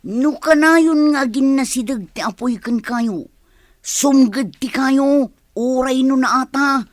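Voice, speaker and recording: A woman's voice: high voice, slow, no room sound, studio quality. A woman with an Ilocano accent playing a blunt, suspicious older village relative in a radio comedy drama. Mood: scolding, suspicious.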